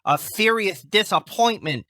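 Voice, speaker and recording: Woman voicing an animated, nerdy botanist, dry sound. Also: mid-range voice, measured pace, no room sound. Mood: dry, condescending. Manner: bookish, wordy, stammering academic. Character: eager, nerdy, fussy botanist doctoral student in a zombie shooter. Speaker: quest-giving game character. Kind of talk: scripted video game dialogue.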